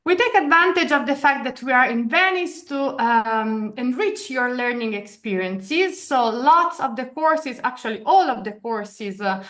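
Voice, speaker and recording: Italian-accented woman, halting presenter. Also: fairly high pitch, fairly bright tone. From Italy. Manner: hesitant, many filler sounds and restarts. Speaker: program director. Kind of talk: online information session presentation. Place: office in Venice, video call.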